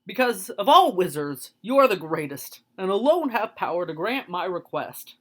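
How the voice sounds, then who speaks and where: A woman voicing a timid but proud male lion who speaks earnestly and pleads loudly. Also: fairly low pitch, animated, moderate pace.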